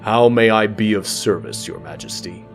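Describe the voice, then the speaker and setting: A man's voice: fairly low pitch, normal speed, gravelly, faint music behind. A man voicing a stern, zealous armored legionnaire guard with declamatory pronouncements in a comic fantasy story. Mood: eager, dutiful.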